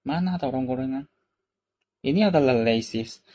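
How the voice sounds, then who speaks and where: Korean guy w korean accent, native korean, vlog storytelling, mellow relaxed tone, simple repeated phrases, keeps asking viewers questions. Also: mid-range voice, a little fast. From South Korea. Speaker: vlogger. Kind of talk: casual personal storytelling to camera.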